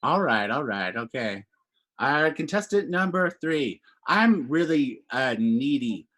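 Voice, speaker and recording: A man hosting a dating-show bit in improv comedy, talking in a hesitant, halting way full of fillers over a home video call. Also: mid-range voice, animated, fairly bright tone. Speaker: game show host.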